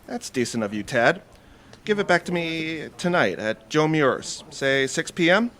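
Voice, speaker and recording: An actor in an audio comedy playing a guarded, stubborn young pro athlete who talks in a blunt, wary way. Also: male voice, a little high, very animated, measured pace, a bit bright. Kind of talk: scripted audio theatre comedy acting.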